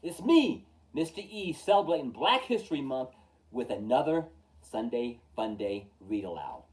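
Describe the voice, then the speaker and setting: A man hosting a storytime, reading a rhyming children's book aloud in a theatrical, measured way, with emphatic openings and falling line endings. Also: medium pitch, big pitch swings, a little mellow, distant mic. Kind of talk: expressive children's picture-book read-aloud in rhyme.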